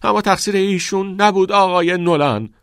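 A man's voice: sits high, fairly bright tone, no echo, studio quality, pitched above the performer's own voice. A man voicing a tearful, defiant teenage schoolboy who pleads urgently in an audiobook. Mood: desperate pleading. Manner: raised, urgent, emotional pleading. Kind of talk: audiobook character voice.

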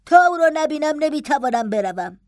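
Woman acting a high-pitched, pleading, distraught servant woman. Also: no room sound, studio quality, natural voice. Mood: desperate, insistent. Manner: impatient, urgent, voice climbing louder. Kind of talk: dramatized audiobook dialogue.

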